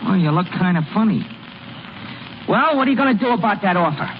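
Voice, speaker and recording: An actor playing an eager, excitable American small-town boy with exclaiming, boyish delivery in old radio style. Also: high-pitched, brisk. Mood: curious. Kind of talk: 1930s-40s American radio acting. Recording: dull, hissy old radio broadcast.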